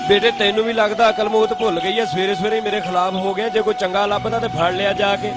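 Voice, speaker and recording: A man's voice: sits high, fairly even intonation, fast, close to an everyday voice. A man with a Pakistani Punjabi accent voicing a bossy, sarcastic Mughal emperor in a comic cartoon dub. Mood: irked, challenging. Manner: teasing, blustering. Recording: music under the voice.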